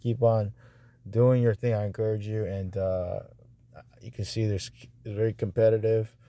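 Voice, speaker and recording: A man talking casually and off the cuff as he reviews narrator auditions, halting and repetitive, with a reassuring manner. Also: on the low side, flat delivery, dark tone.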